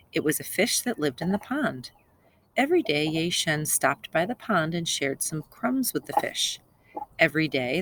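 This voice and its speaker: A woman reading aloud to young children as a teacher, explaining simply and repeating key points. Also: low voice. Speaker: second grade teacher.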